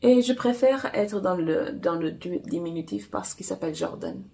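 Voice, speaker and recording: A woman romance author whose first language is Portuguese, chatting casually to camera in a halting way with many fillers and restarts. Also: fairly low pitch, animated, dark tone. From Angola. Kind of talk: casual vlog chat.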